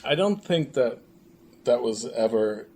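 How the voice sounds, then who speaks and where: A man chatting casually as an interview guest, halting as he thinks aloud. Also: mid-range voice, lively intonation, darkish tone. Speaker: interview guest, audio drama creator. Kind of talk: casual chat. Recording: faint background room noise.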